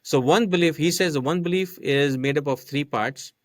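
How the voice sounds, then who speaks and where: A man's voice: a little high, moderate pace, no room sound, studio quality. A man whose first language is not English, a podcast guest explaining a marketing method step by step and often checking in with "right?". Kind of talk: casual explanatory chat.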